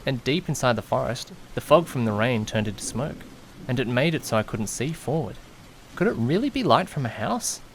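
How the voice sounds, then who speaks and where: A man's voice: medium pitch, lively intonation, moderate pace, a little hiss or hum, natural voice. A man playing an anxious, easily panicked young man who narrates his own misadventure in an audio drama, dramatic and questioning himself. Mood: anxious, alarmed. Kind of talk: first-person audio drama narration with acted dialogue.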